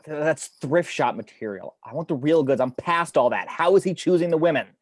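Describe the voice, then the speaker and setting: A man's voice: on the high side, lively intonation, brisk, no room sound, clean studio sound. A man playing a tense, driven criminal investigator in a dramatic table read, terse, insistent and controlled. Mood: impatient.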